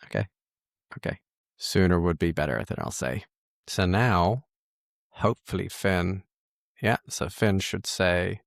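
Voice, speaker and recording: A man with a lowish voice and a strong creak talks through a software walkthrough. He sounds informal, musing and correcting himself as he goes. The sound is close and dry. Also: no echo. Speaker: host of software tutorial. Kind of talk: casual screen-share walkthrough, thinking aloud.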